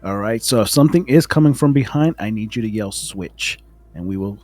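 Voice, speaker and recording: A man's voice: on the low side, expressive, brisk, a little mellow, faint music behind. A man playing a tabletop roleplaying game in character, speaking in a commanding, instructional manner.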